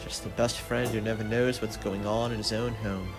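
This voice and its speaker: An actor playing a witty, easygoing young gentleman in a radio play, bantering in old-fashioned phrases. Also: on the low side, flat delivery, normal speed, soft background music, natural voice, rough recording. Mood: wry, teasing. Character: witty, easygoing young gentleman, scientist's teasing best friend. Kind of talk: dramatic radio play acting.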